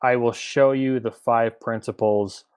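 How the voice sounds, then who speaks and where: A man's voice: medium pitch, steady tone, measured pace, a little mellow, clear, resonant tone. Man casually leading a book discussion, mid-range voice. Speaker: book club podcast discussion leader.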